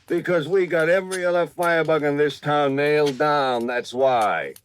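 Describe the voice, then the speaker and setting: Man acting a gruff, scornful city cop. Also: fairly high pitch, normal speed, lightly acted. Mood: scornful, needling. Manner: gruff, needling. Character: gruff, scornful city policeman, sarcastic and stubborn. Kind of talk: 1950s radio drama acting. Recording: old radio recording with faint noise, clicks.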